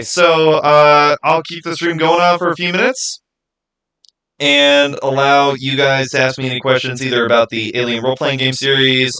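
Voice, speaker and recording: A man's voice: medium pitch, pushed and bright. A man hosting a livestream, chatting informally with viewers and thinking aloud as he goes. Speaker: livestream host. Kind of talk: casual livestream chat with viewers.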